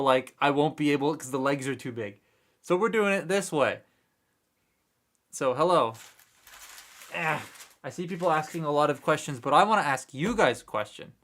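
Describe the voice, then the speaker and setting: A man's voice: a little high, fairly bright tone. A man hosting a casual toy livestream, chatting to viewers in a rambling way with many fillers, still a little congested from a cold. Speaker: toy review livestream host. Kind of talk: casual live chat while building a kit. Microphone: desk mic close by.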